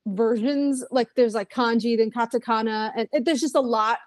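A woman's voice: fairly high pitch, moderate pace, no echo. Chatty young woman, dry close mic. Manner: chatty, rambling with many fillers and restarts. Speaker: musician guest. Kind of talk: casual interview chat.

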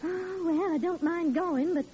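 An actress playing a sensible, worried housewife who is skeptical and gently coaxing, in 1940s radio acting, on an old lo-fi recording with faint hiss. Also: female voice, very high voice, measured pace. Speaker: wife character in a radio comedy.

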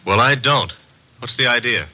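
An actor playing a breezy, wisecracking detective's assistant in an old radio drama, talking in slangy style. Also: male voice, mid-range voice, normal speed, pushed and bright. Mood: wry. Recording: noisy old radio recording.

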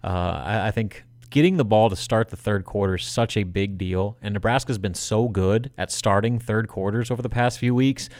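Male co-host for sports talk, lower register, conversational analysis, very little room sound. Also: normal speed, hardly any pauses, a little mellow, no echo.

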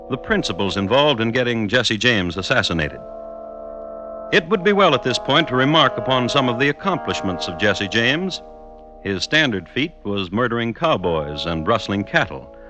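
A man hosting and narrating an old-time radio crime drama, deadpan and dryly ironic, with measured phrasing. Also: lowish voice. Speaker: host and narrator.

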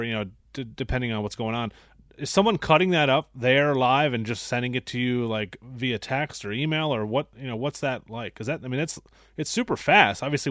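A young man hosts a casual interview, asking long, friendly questions padded with "uh" and "like". His voice is mid-pitched and sounds dry and close, with no room echo. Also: fairly bright tone. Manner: friendly, rambling questions with many fillers. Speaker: podcast host.

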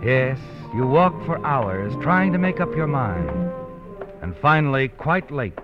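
A man's voice: mid-range voice, big pitch swings, a little slow, over music. An actor narrating a suspense radio play as an ominous, knowing man who speaks straight to the hero, in a muffled old recording. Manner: dramatic, knowing, addresses the character as "you". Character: ominous, knowing male narrator of a crime story. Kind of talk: 1940s radio suspense narration, second person.

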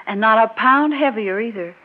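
An American actress playing a composed, resolute, quietly wistful married woman in a mid-century radio drama. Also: fairly high pitch, moderate pace, lightly acted. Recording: dull old radio recording.

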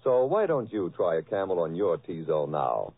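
A man delivers a vintage radio commercial as the announcer. His voice is slightly rough with lively, swooping intonation. The sound is thin and narrow, like an old broadcast. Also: deep voice, moderate pace. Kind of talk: 1940s radio advertising read. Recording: tinny, band-limited old radio recording.

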